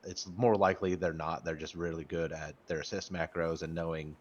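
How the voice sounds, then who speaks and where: A man's voice: low-pitched, steady tone, a little fast. A man in his thirties chatting casually about an old online game, rambling with stammered restarts and frequent swearing. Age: 30s. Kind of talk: casual gaming chat. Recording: muffled lo-fi audio.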